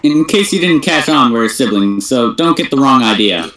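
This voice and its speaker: A man's voice: mid-range voice, animated, moderate pace, fairly bright tone, lightly acted. A man voicing a cocky, scheming young gamer in an anime fandub, taunting and sarcastic. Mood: mocking. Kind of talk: anime fandub script reading. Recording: echoey room, faint background noise.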